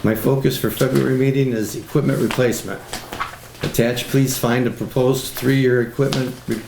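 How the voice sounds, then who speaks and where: A man reading a formal highway superintendent's report aloud at a meeting. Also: creaky. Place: indoor public meeting room. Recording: echoey meeting room, faint noise.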